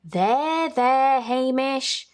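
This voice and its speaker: A woman's voice: high-pitched, animated, very slow, voice pushed up, exaggerated voice. A woman voicing a kindly, clever lighthouse keeper's wife in a storybook. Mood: consoling.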